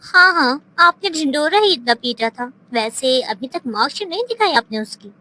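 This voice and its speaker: A woman playing a sharp-tongued, needling female relative in an animated moral story, with theatrical, pointed delivery. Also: sits high, normal speed, a little hiss or hum, low-quality recording.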